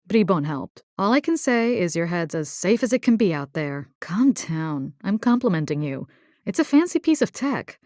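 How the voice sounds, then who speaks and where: An actress voicing a tough, caring young military rescue engineer in a video game, salty, wry and resolute. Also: female voice, on the low side, very animated, normal speed, darkish tone, no echo, studio quality. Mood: wry, bantering. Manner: salty, plain-spoken, wry. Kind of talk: scripted video game character voice lines.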